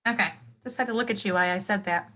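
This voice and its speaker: A woman's voice: mid-range voice, rapid-fire, a bit bright. A woman teacher reading a nonfiction book aloud to children, expressively, with exclamations, explanatory asides and questions to her young listeners.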